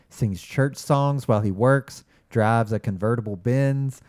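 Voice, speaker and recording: A man's voice: medium pitch, dark tone, smooth, no room sound. A man co-hosting a casual podcast with dry, wry remarks. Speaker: podcast co-host. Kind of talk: casual podcast talk, partly reading a listener email aloud.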